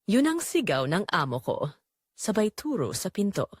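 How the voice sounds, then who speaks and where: A woman's voice: mid-range voice, sing-song, moderate pace, slightly rough, dry recording, clean studio sound. A woman with a Filipino accent playing a weary, anguished migrant-worker mother, telling her story in an emotive, confiding audio drama narration.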